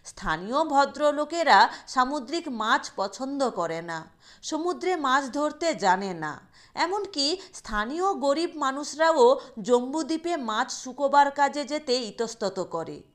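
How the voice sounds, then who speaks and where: A woman narrating a literary short story in a deliberate, expressive storytelling manner. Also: high-pitched.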